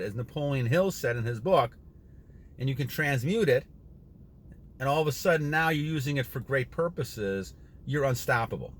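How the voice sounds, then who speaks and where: A man in his sixties giving a casual motivational monologue to his viewers, rambling and earnest, often repeating himself and clearing his throat. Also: mid-range voice, slight background noise. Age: 60s. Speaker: channel host.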